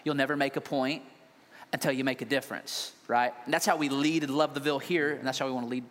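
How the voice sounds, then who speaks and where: An energetic, joking man, a pastor, interviewing a colleague on stage with loud emphatic bursts. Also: mid-range voice, fast talker. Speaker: lead pastor. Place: large church hall. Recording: reverberant hall, faint noise.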